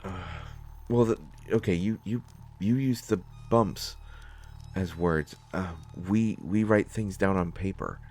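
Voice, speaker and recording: A man playing a nervous, awkward, well-meaning character in a sci-fi audio drama, speaking haltingly with many stammers. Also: deep voice, a little mellow, heavily acted. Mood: awkward, explaining. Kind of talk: solo scripted audio drama, conversational acting.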